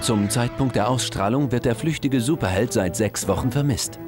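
A man's voice: mid-range voice, normal speed, lightly acted. A man playing a grandiose, dramatic TV documentary announcer in a parody broadcast from a game cutscene. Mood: dramatic, sensational. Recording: music behind.

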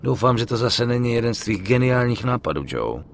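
A man's voice: mid-range voice, big pitch swings, slight background noise. An actor playing a tough, sceptical mobster in his thirties, sardonic and streetwise, in a dubbed crime game. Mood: groggy, wry. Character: tough, sceptical young male mobster hero. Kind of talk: dramatic dubbed video game acting.

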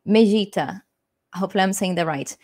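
A woman's voice: medium pitch, a little fast, darkish tone. Brazilian-accented woman streaming English tips. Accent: Brazilian Portuguese. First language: Portuguese. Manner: hesitant, many ums and restarts, friendly. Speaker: English teacher. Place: small room at home, indoors. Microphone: webcam framing, desk mic.

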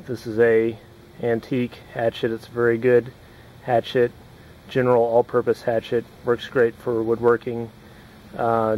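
A young man explaining hatchet woodworking in a relaxed, unhurried way while chopping outdoors in a yard, recorded on the camera. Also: mid-range voice, poor audio. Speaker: woodworking instructor. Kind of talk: casual how-to demonstration.

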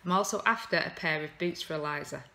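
Woman, 30s, English accent, low-pitched, casual home vlog, rambling with ums, handheld camera. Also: fast talker, gravelly, sharp tone. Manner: chatty, rambling, frequent ums. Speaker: vlogger. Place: living room sofa at home.

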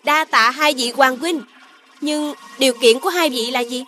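High-voiced proud young princess. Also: female voice, normal speed, bright, forceful tone. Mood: cool, shrewd. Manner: formal, courtly, assertive. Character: proud, shrewd young princess, skilled in arms. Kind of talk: Vietnamese animated fairy tale voice acting.

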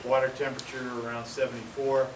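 A man from Maine giving a casual talk outdoors, with many fillers and pauses. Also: mid-range voice, little pitch movement. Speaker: presenter. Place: outdoor pavilion among palm trees. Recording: roomy, distant, noisy outdoor sound.

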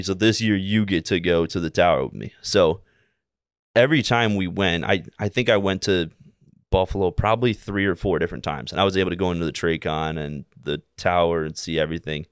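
A man in his twenties with an American accent, telling stories casually in a halting, repetitive way as an interview guest. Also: sits low, clear, resonant tone, dry recording, clean studio sound. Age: 20s. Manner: halting, rambling, repeats himself while telling anecdotes. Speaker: podcast guest, air traffic controller. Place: small indoor room, seated. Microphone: close podcast mic on boom arm.

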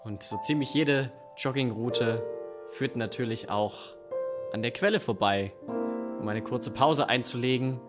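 A man's voice: medium pitch, unhurried. A young man narrating an improvised radio play on stage into a stand microphone. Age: young adult. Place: dark curtained theatre stage. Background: live background music.

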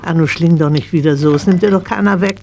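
Actress as a grumbly middle-aged shopkeeper mom, careworn, crackly voice, kids radio play. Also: female voice, on the low side, fast, darkish tone, close to an everyday voice. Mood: chiding. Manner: grumbling, chiding. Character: scolding, careworn shopkeeper mother of a horse-mad girl. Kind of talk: German children's radio play acting.

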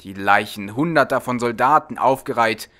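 A man's voice: mid-range voice, moderate pace, sharp tone. A man narrating a storybook from a script as an audiobook reader.

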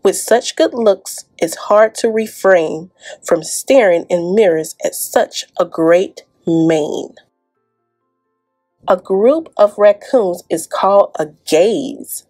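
A woman's voice: medium pitch, slow, mellow, faint music behind. A young woman hosting preschool storytime, reading aloud and chatting to small children in an encouraging, sing-song way. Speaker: library storytime host. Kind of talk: preschool storytime, picture book read aloud.

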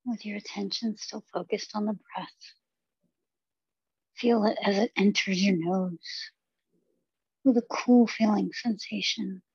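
Husky woman guiding a slow meditation. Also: medium pitch, fairly even intonation, no echo, highs cut off. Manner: gentle, unhurried instruction with long pauses. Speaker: yoga meditation teacher. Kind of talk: guided body scan meditation.

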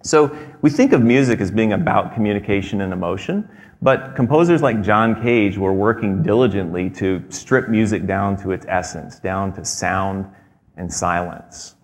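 A man in his thirties giving a prepared talk on a theater stage, measured and explanatory, with frequent fillers. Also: fairly low pitch. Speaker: presenter. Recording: long hall reverb.

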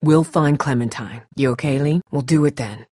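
A woman playing a tough, blunt, protective young survivor in a video game, with a husky voice and a terse, urgent, sardonic delivery. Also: sits low, moderate pace, mellow, no echo, studio quality. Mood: tense, guarded. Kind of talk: dramatic game dialogue.

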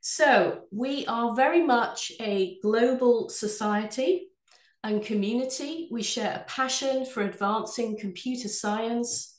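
A woman from England with an English accent, head of sales, presenting a webinar from her office in a measured, polished way. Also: on the high side, reverberant. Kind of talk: scripted product presentation.

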